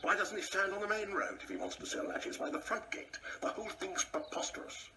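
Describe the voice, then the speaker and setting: Pompous, fretful older husband, noisy recording. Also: male voice, sits high, very animated, fairly quick. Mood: indignant. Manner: pompous, indignant, muttering repetition. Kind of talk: radio play acting. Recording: noisy audio play recording.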